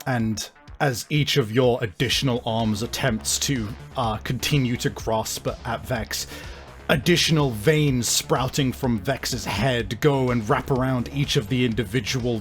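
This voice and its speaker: Male game master, medium pitch, slow halting narration of dark scenes over faint guitar music. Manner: halting, deliberate, searching for words. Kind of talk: improvised narration of a tabletop horror game.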